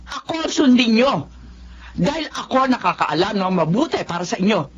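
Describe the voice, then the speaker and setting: A woman's voice: fairly high pitch, big pitch swings, moderate pace, a little hiss or hum. A woman playing a proud, domineering mother who scolds and commands in a radio drama. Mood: bossy, irritated. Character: domineering, proud, successful mother of grown children. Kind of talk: Tagalog radio drama acting. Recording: muffled, music clearly behind.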